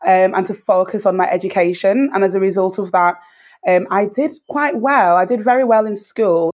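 Thirties woman, British accent, interview guest, thoughtful and earnest, pauses often. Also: mid-range voice, a little mellow. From Dominica. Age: 30s. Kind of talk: reflective personal storytelling.

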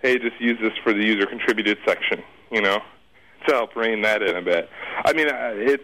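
A man's voice: lowish voice, a little fast, sharp tone, poor audio. A man speaking as an expert interview guest, explaining things informally in a conversational, circling way. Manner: informal, circling explanations with repeated "you know".

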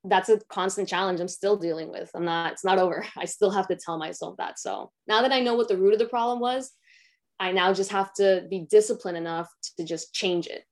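Raspy-voiced young woman, candid and reflective. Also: steady tone, brisk. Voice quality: raspy, low voice. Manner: candid, reflective, many "like" fillers. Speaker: actress guest. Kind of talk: casual interview.

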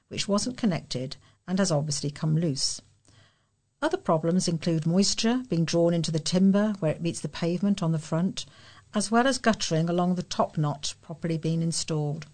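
A woman reads local news aloud as a volunteer newsreader. Her voice sits a little low for a woman and has a noticeable gravelly creak to it. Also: darkish tone.

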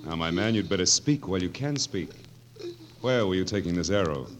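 A man's voice: low voice, expressive, fairly quick, slight background noise. An actor playing a sly, cruel king with mock-genial menace in a 1940s radio drama. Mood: menacing, amused. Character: sly, cruel, drily amused old-world king.

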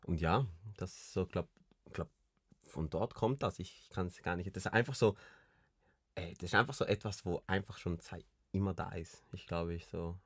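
Deep-voiced young man from Colombia. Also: moderate pace, slightly rough, no echo, studio quality. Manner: rambling, many restarts and fillers. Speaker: podcast co-host. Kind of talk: casual chat.

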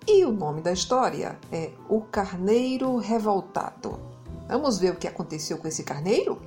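A Brazilian woman narrating a children's story in an expressive, dramatic way, leaning on key words. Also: mid-range voice, normal speed, faint music behind. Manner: dramatic, emphasizes and repeats words. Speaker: children's storyteller, podcast host.

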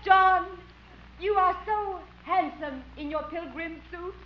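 A woman's voice: very high-pitched, slow, a little hiss or hum. An actress playing a flirtatious, cooing pilgrim maiden in a 1950 radio comedy, gushing over her suitors. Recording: echoey muffled old radio recording.

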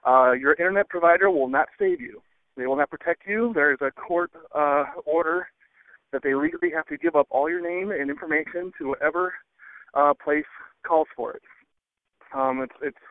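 A man caller leaving a casual, rambling voicemail in hesitant, halting phrases over a phone line. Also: fairly high pitch, no echo. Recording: thin, dry phone line.

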